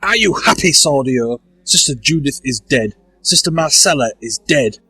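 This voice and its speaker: An earnest, indignant bishop who presses his accusations hard. His voice is husky, with a bright, forceful edge and a tense energy. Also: mid-range voice, animated, moderate pace, exaggerated voice. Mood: accusing.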